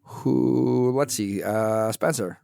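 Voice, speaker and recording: A man's voice: medium pitch, slow, vocal fry, mellow, no echo. A man hosting a casual film podcast, with a dry, teasing way of talking. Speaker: podcast host.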